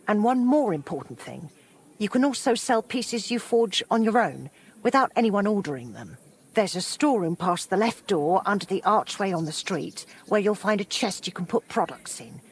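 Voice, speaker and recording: A middle-aged woman playing a warm, helpful widowed townswoman in a medieval video game, with a husky voice. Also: medium pitch. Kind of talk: video game character dialogue.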